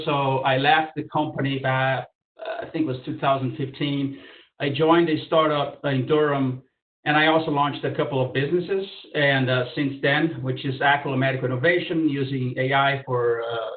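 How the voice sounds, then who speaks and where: A man's voice: medium pitch, steady tone, fairly quick. A man from Brazil with a Brazilian Portuguese accent, introducing his startup on a virtual panel in a friendly, informal way. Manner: friendly, informal, with fillers and restarts. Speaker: startup founder. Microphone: video call. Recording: phone-like, roomy, far from mic.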